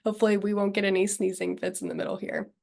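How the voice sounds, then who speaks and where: A woman's voice: mid-range voice, little pitch movement, rapid-fire, a little mellow. A woman in her thirties from Texas, giving an upbeat, organized webinar presentation. She has a cold, so she sounds slightly nasal. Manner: upbeat and friendly, clear and organized.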